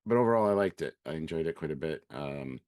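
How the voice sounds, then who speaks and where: A man with a very deep voice, made raspy and congested by a cold. He talks in a laid-back, halting way, with plenty of pauses and hedges as he reviews an episode live. The sound is dry and close, with no room around it. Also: expressive, darkish tone, dry recording. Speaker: co-host. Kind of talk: live-stream review chat.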